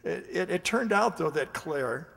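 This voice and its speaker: A man's voice: fairly high pitch, animated, unhurried, gravelly, fairly bright tone, exaggerated voice. A man playing a meek, hesitant security guard in a radio drama, stammering and folksy as he speaks. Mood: rueful, confiding. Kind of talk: radio drama acting and narration. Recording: reverberant hall-like amateur recording.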